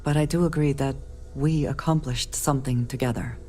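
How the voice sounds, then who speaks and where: A very deep-voiced woman space explorer, guarded and formal, choosing words with steely calm. It is video game cutscene dialogue with long hall reverb and faint music behind. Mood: reserved, sincere. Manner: formal, measured speech without contractions.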